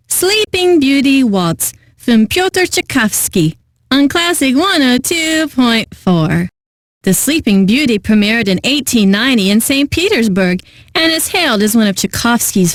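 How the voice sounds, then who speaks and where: High-voiced, pompous classical radio DJ. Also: female voice, expressive, unhurried, no echo. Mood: poised, refined. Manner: pompous, theatrical, savoring each phrase. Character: refined, eccentric, theatrical female classical radio host. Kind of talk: scripted radio DJ patter for a video game.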